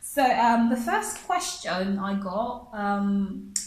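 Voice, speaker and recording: A woman's voice: mid-range voice, lively intonation, slow pace, darkish tone, echoey room, low-quality recording. A woman from Africa who teaches pronunciation and speaks English as a second language, chatting casually through viewer questions, laughing often and repeating her phrases. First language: not English.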